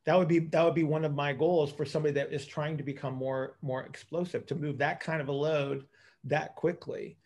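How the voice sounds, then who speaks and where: A man with a slightly high voice talks as a coach on a video call. He explains things casually, stopping and starting and repeating phrases as he thinks aloud. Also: fairly even intonation, fairly quick, a little mellow. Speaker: coach hosting a group call. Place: indoors on a group video call.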